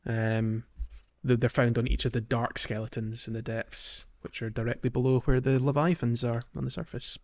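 A man co-hosting a podcast, chatting casually about a video game and filling pauses with ums. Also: mid-range voice, highs cut off. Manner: relaxed, many ums, walks through game areas. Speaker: podcast co-host.

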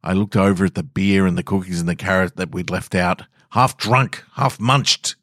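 A man's voice: deep voice, fairly even intonation, moderate pace, a bit bright, no echo, studio quality. An Australian man hosting a podcast, reading short scripted stories and talking to listeners. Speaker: podcast host and story reader. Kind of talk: scripted narration of short stories with casual host remarks.

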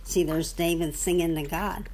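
A woman reading a children's Bible storybook aloud to a young child, with simple, deliberate phrasing and questions for the listener. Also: fairly low pitch, steady tone, a little mellow, slight background noise.

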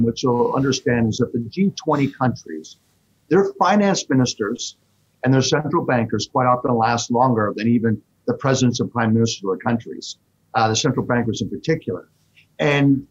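Husky man explaining markets fast. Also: mid-range voice, lo-fi sound. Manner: fast, animated explaining with fillers. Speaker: fund manager guest. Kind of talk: interview answers.

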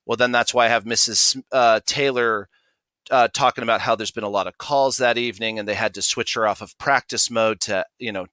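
A man with an American accent speaks as an interview guest, explaining his production work in detail. His voice is bright and crisp, with a very dry, close sound. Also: mid-range voice, fairly even intonation, brisk, no room sound. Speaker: podcast guest, audio drama producer. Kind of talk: interview answers, explanatory storytelling.

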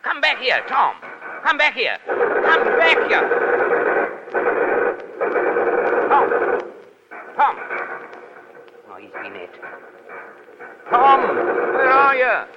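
An actor playing a fiercely loyal, hot-headed Foreign Legion soldier in an impassioned, slangy 1930s radio drama style. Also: male voice, very high voice, huge pitch swings, slow pace, long pauses, full character voice. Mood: urgent. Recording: muffled, noisy, crackling 1930s radio recording.

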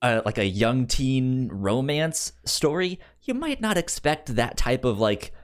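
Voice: mid-range voice, very animated, unhurried. A podcast co-host chatting casually about a film, stammering and often repeating syllables and words.

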